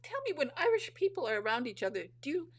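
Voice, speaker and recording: A woman's voice: high-pitched, very animated, quick pace. A woman from Michigan hosting an interview, enthusiastic and gushing about her guest's stories. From Michigan, United States. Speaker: podcast host. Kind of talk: casual interview chat.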